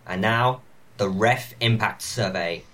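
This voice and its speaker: A man playing a stately elf lord, addressing a council formally and gravely in an amateur comic pantomime. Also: fairly low pitch, slow, fairly bright tone. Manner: formal, oratorical.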